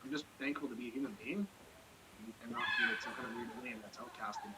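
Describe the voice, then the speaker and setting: A man rattles off blunt, profane, rambling opinions at a quick clip. He speaks at an ordinary pitch for a man. Clear background noise runs under his voice. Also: sing-song. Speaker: podcast co-host. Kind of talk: casual chat.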